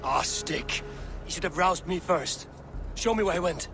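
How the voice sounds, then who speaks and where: An actor playing a plainspoken, steady Viking warrior in dramatic game dialogue, speaking in a measured, deliberate way. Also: male voice, sits high, vocal fry, faint music behind, heavily acted. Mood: urgent.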